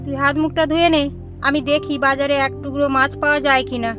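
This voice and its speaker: A woman voicing a loving, fussy older aunt in an animated horror story. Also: sits high, quick pace, over music, natural voice. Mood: fussy scolding. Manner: scolding, doting, motherly. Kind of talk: cartoon voice acting.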